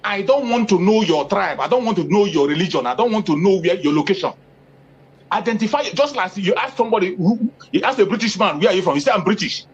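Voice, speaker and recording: A man's voice: expressive, fast talker, crowd noise. A man, speaking as an activist in an interview, emphatic, repeating phrases for force. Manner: emphatic, repeats phrases for force, rising pitch when stressing points. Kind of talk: impassioned political interview talk. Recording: dull lo-fi audio.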